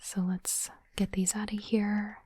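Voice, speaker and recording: A woman whispering gently and breathily in an ASMR style, in unhurried short phrases, close to the microphone. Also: medium pitch, level tone. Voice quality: soft, breathy unvoiced whisper. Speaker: ASMR host unboxing trading cards. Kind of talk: whispered ASMR, reading cards and commenting. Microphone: close microphone, dry.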